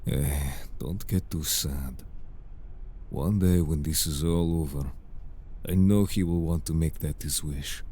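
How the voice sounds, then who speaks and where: A man's voice: very deep voice, mellow, husky, full character voice. A man playing a composed, exasperated merman in human form, speaking formally and dryly in a scripted audio drama. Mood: calm, measured. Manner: formal, measured, dry. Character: composed, exasperated older-brotherly male merfolk in human form. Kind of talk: scripted audio roleplay acting.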